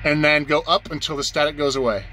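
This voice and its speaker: A man's voice: on the high side, fairly even intonation, fast talker, sharp tone, slight background noise, poor audio. A man giving a casual, off-the-cuff tutorial as an instructor, explaining things plainly step by step and repeating points for beginners.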